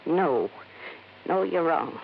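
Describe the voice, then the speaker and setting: A woman's voice: low voice, expressive, takes it slowly, vocal fry, heavily acted. An actress playing a big, domineering middle-aged woman who is defensive, scolding and folksy, in old-time radio drama style. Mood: pleading, defensive. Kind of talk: 1940s-50s radio acting. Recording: muffled, noisy old radio recording.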